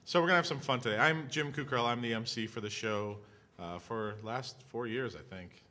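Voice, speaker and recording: A man's voice: mid-range voice, a little fast, pushed and bright. A man introducing himself on a conference panel in a casual, joking way. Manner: jokey, casual.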